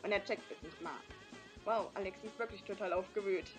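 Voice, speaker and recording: A woman's voice: medium pitch, fairly even intonation, fairly quick, faint music behind. A young woman hosting a let's play, reading visual novel dialogue aloud with playful, giggly asides. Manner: giggly, reads lines playfully.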